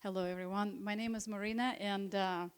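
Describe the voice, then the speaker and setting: Smooth-voiced woman presenting health books. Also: medium pitch, fairly even intonation, a little fast. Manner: conversational, with frequent hesitations and restarts. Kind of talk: informal presentation at a church seminar. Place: church hall.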